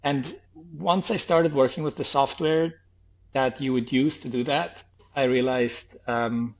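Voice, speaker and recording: Swiss man, thirties, podcast guest, mixed accent, reflective, hesitant, lots of ums and restarts, medium pitch. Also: steady tone, measured pace. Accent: Swiss, with a faint New Zealand trace. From Switzerland. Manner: thoughtful, hesitant, many restarts and "um"s. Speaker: podcast guest, former health tech startup founder. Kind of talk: reflective interview answers.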